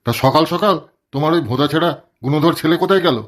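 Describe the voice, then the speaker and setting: A man's voice: fairly high pitch, very fast, close to an everyday voice. A man voicing a grumpy, crude middle-aged village husband in a cartoon comedy, who swears and complains constantly. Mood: grumbling.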